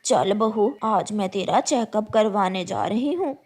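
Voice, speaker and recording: A woman's voice: mid-range voice, lively intonation, unhurried, darkish tone. A woman voicing a spiteful, impatient old crow mother-in-law in a cartoon story, nagging and commanding. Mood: bossy. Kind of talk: Hindi cartoon story acting.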